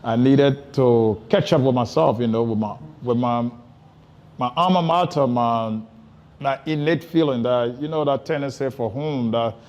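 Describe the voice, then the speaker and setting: A man's voice: medium pitch, a little slow, a little mellow, lo-fi sound. A man from Liberia with a Liberian accent, telling his story casually as an interview guest, repeating and rephrasing for emphasis.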